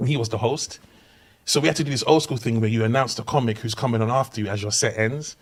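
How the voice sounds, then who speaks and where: A young man with a London accent, a comedian guest telling stories in a relaxed podcast chat, animated and laughing through his punchlines. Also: medium pitch, quick pace. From Hackney, East London. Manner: animated storyteller who laughs through punchlines and acts out quoted voices. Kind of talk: casual chat, anecdotal storytelling.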